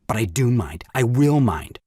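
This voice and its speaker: A pompous, indignant young husband, animated delivery. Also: male voice, mid-range voice, normal speed, slightly rough, no echo, clean studio sound. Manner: pompous, rhetorical, emphatic. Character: indignant young father, pompous lawyer scheming for money. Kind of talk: stage farce audio drama acting.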